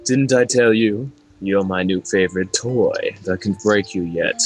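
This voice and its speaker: A man's voice: on the low side, unhurried, husky, soft background music. Man playing a haughty, mocking demon lord. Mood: mocking, teasing. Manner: nonchalant, taunting, commanding. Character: regal male demon lord, ageless, haughty, mocking, playfully menacing.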